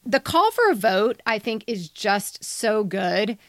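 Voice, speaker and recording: A woman's voice: medium pitch, big pitch swings, takes it slowly, dry recording. Blunt woman co-host, dry close sound. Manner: blunt, emphatic. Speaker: podcast co-host and editor. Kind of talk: candid conversational story critique. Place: recording studio.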